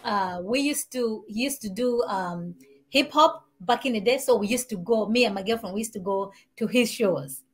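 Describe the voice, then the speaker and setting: Malawian woman, 30s, animated interview guest, video call from home. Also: a little high, normal speed, husky. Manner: animated, talks with her hands. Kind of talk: casual interview chat. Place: home room with shelves and warm lamp. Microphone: video call, earbuds.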